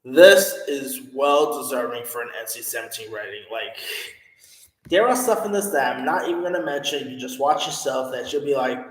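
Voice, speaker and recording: A man's voice: mid-range voice, lively intonation, moderate pace. A man giving a casual, rambling film review, full of fillers and restarts. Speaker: movie reviewer. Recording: echoey room with long reverb.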